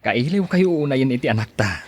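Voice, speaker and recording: A man plays a mild husband back from working abroad, in an audio drama. His mid-pitched voice is husky, and his manner is yielding. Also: expressive, brisk, a little mellow, lightly acted. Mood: resigned. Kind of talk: podcast radio drama acting.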